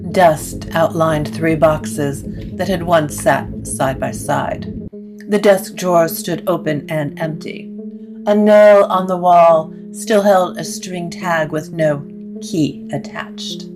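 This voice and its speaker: A woman narrating a scripted gothic story in a measured, literary way. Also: low-pitched, steady tone, over music, low-quality recording.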